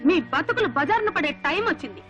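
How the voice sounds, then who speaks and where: A woman's voice: very high-pitched, expressive, a little fast. An actress in a film comedy playing a stern, outraged woman who denounces cheats. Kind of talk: Telugu comedy film acting. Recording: dull film soundtrack with loud music.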